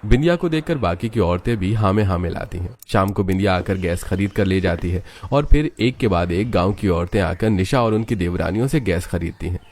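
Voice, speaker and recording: A man narrates a story from a script. His voice sits on the low side, and he delivers it as a storyteller recounting events. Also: expressive, brisk, few pauses, a little mellow.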